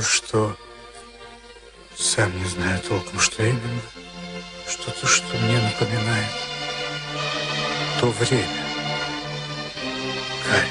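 Brooding boxer haunted by war, low voice, hesitant, confiding, radio drama, loud music behind. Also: male voice, fairly even intonation, takes it slowly, long pauses. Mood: troubled, confiding. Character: brooding boxer, former concentration camp prisoner. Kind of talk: Soviet radio play acting.

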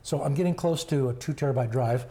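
Older grandfather, hoarse and mellow, unhurried and halting in relaxed conversation. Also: medium pitch. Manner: slow, halting, many fillers. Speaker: grandfather being interviewed. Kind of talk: casual family interview.

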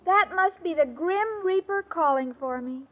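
A woman's voice: very high-pitched, moderate pace. An actress playing a naive, melodramatic, childlike young American woman in a 1940s radio comedy, plaintive and dim. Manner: naive, plaintive, self-pitying. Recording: muffled old radio recording.

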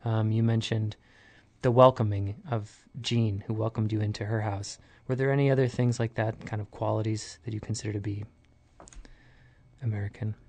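Low-voiced curious male interviewer. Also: fairly even intonation, moderate pace, darkish tone. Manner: curious, conversational, asks long questions. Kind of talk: casual interview conversation.

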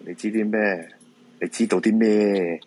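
Guy acting a tired middle-aged dad, hong kong cantonese, low husky voice, resigned, radio play. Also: steady tone, unhurried, a little mellow. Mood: weary, uneasy. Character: weary middle-aged male feng shui master and father.

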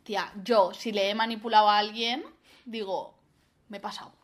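A young woman with an accent from Spain chats casually as a podcast co-host, animated, outspoken and full of slang. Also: mid-range voice, normal speed. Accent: Spanish (Spain). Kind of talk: casual chat.

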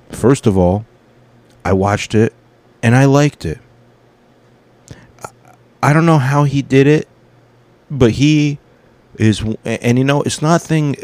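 A man's voice: medium pitch, a little mellow, no room sound. A man in his thirties, a comedian hosting his own podcast, rambling through his week in a casual, profane, self-correcting monologue.

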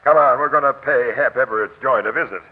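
An actor with an American accent playing a no-nonsense police captain, terse and authoritative, in a 1940s radio drama. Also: male voice, medium pitch, fast, heavy background noise, close to an everyday voice. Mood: brisk, businesslike. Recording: tinny old radio broadcast.

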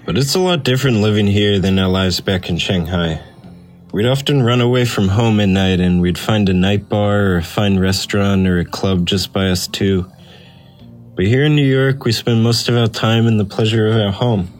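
A man's voice: lowish voice, normal speed, soft background music. A man reading a personal essay aloud as scripted narration. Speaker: essay reader.

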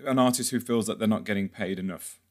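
Guy podcast host, lowish voice, halting chatty interviewer, rewords stuff a lot. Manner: halting, rephrases questions as he goes. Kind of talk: conversational interview.

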